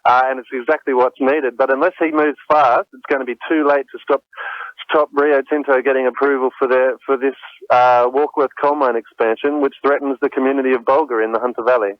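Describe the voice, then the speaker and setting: A man's voice: mid-range voice, fairly even intonation, a little fast, no echo. A man giving an unscripted interview comment as a campaign coordinator. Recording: dull, muffled phone interview audio.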